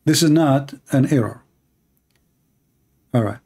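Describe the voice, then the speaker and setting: A man's voice: mid-range voice, gravelly, darkish tone, breathy edge. Blunt man hosting a live call-in. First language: Arabic. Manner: blunt and challenging, with halting pauses and fillers. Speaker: host of a live call-in debate show.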